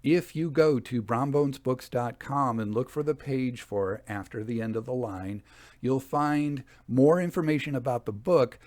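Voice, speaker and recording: A man narrating and commenting as a storyteller and host, with a measured, conversational and expressive delivery. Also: medium pitch, dry recording.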